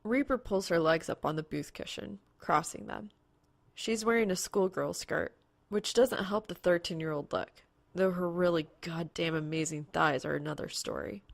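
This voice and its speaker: A woman's voice: lowish voice, normal speed, creaky, no room sound. A woman reading fan fiction aloud as a scripted story narrator. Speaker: podfic narrator.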